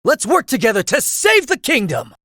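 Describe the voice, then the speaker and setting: A man's voice: very high-pitched, huge pitch swings, normal speed, heavily acted. An actor playing a chatty, idealistic young nobleman in a video game, breezy and theatrical in manner. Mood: excited, grand.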